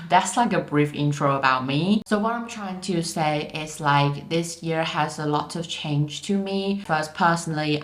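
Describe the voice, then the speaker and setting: Young woman from Taiwan, low voice, candid chatty vlogger, roomy echo. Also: fairly bright tone. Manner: chatty, candid, repeats words for emphasis. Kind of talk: casual vlog talk. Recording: echoey room.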